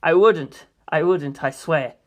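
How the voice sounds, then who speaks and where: A woman's voice: sits low, slow pace. A woman voicing an inquisitive, easily startled young male detective, questioning and hesitant, in a story reading. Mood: curious, startled.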